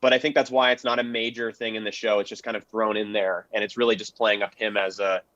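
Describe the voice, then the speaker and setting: A man talking informally as a panelist on history, explaining in a measured, analytical way. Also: fairly low pitch, little pitch movement, a bit bright, no echo, lo-fi sound. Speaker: panelist, radio history commentator.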